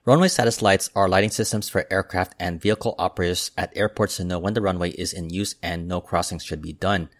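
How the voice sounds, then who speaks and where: A man hosting an aviation news channel, reading a scripted report in a steady, measured way as a voice-over. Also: low-pitched, no echo, clean studio sound. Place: voice-over, not recorded in the pictured airport.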